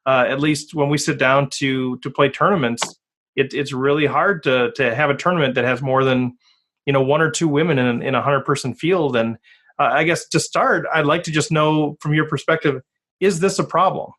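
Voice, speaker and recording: A man's voice: on the high side, steady tone, moderate pace, a bit bright. A man hosting a podcast interview, joking and rambling with many restarts. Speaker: podcast host. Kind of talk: casual interview.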